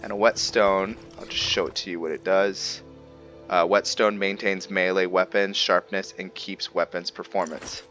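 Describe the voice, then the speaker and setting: A man's voice: low-pitched, flat delivery, normal speed, a bit bright, faint music behind. A man hosting a gameplay video, talking informally and thinking aloud to his viewers in casual roleplay commentary. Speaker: gaming video host.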